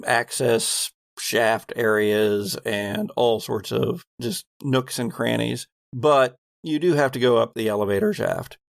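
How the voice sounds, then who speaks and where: A man's voice: mid-range voice, vocal fry, dry recording, clean studio sound. A man working as game master, narrating a tabletop horror role-playing game in a conversational, descriptive storytelling way.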